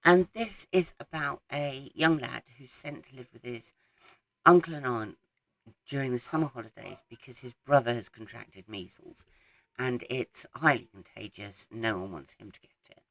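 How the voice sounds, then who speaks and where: A woman, croaky from a head cold, chatting casually about her week's reading and rambling with frequent ums. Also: extremely low pitch, moderate pace, a bit bright, dry recording, poor audio. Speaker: book vlogger. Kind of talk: casual reading wrap-up chat. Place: small garden shed.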